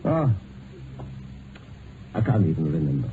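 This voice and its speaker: An actor playing a blunt, sneering, possessive rich husband in a period radio drama. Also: male voice, extremely low pitch, big pitch swings, unhurried, full character voice. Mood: irritated, scornful. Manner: sneering, sardonic. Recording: tinny, noisy old radio broadcast.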